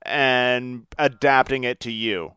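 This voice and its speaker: Male podcast host, medium pitch, crisp smooth tone, conversational and agreeable, dry recording. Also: fairly even intonation, takes it slowly, pushed and bright, no room sound. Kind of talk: casual interview chat.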